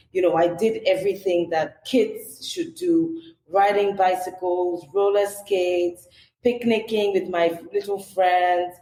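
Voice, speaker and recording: A woman's voice: lowish voice, warm tone. A young Nigerian woman with a Nigerian accent, chatting as a podcast guest, full of "you know" fillers. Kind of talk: casual interview chat. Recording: echoey room with background noise.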